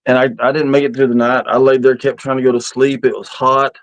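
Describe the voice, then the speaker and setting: A man's voice: mid-range voice, level tone, a little fast, no echo, lo-fi sound. A man in his fifties chatting casually on an outdoor live stream in a folksy, rambling storytelling way. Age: 50s. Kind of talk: casual live-stream chat about camping.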